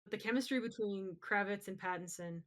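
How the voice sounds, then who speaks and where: A woman's voice: medium pitch, brisk, a crowd in the background. A woman co-hosting a podcast in casual pop-culture chat, conversational and opinionated. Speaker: podcast co-host.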